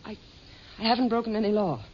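A woman's voice: fairly high pitch, fast, natural voice. Actress as a frightened, defensive American woman. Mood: upset, defiant. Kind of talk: 1950s radio drama acting. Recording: dull old radio recording, faint noise.

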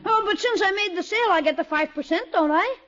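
A man's voice: very high voice, quick pace, bright, forceful tone. A man playing an eager, scheming young boy who talks like a brash store salesman in a 1950s radio sitcom. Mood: cheeky, eager. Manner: brash, wheedling salesman patter. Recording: muffled, noisy old radio recording.

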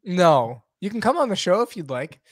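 A young man in relaxed podcast banter, casual and profane. His voice sits a little high and is nursing a cough. The sound is close and dry, with no room around it. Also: normal speed, no room sound. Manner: loose, swearing, laughing banter. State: has a cough. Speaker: podcast co-host. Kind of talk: casual chat.

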